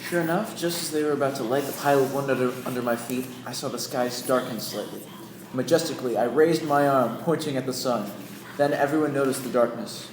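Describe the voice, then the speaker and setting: A wry, self-assured Yankee played by a young man narrates his adventure for an amateur radio play. His mid-pitched voice carries room echo over some background noise. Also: fairly quick, lightly acted. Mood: dramatic, smug.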